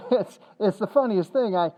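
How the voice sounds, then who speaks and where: A man's voice: high-pitched, moderate pace, dark tone, lightly acted, poor audio. A man playing a sheepish, nervous husband in 1940s-style radio comedy, hesitant and stammering.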